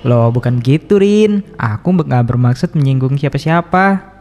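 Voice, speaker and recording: A man playing an earnest, polite college student who explains and smooths things over, in an animated story. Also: mid-range voice, moderate pace, faint music behind, natural voice. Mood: friendly. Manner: conciliatory, explanatory. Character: earnest, polite male college student, group's careful planner. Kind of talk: animated horror story dialogue.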